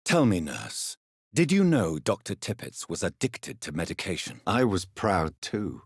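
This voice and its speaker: An actor playing a grave, refined British doctor turned vampire in a video game, speaking formally with measured, precise diction. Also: male voice, sits low, huge pitch swings, slightly rough, dry recording, clean studio sound, exaggerated voice. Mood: probing, composed. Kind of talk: video game character dialogue.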